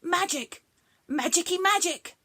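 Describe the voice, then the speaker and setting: A woman's voice: a little high, expressive, measured pace, fairly bright tone, dry recording. A woman voicing a fretful, fluffy little male magic creature in a storybook, speaking in an anxious, pleading way. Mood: chanting. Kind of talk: storybook read-aloud.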